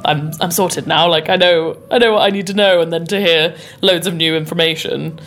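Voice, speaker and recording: A woman's voice: fairly low pitch, steady tone, brisk, fairly bright tone. A young woman from the UK, a mezzo-soprano, chatting in an interview with a bubbly, casual manner. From United Kingdom. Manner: chatty, bubbly, uses "like" and "kind of". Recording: faint background noise.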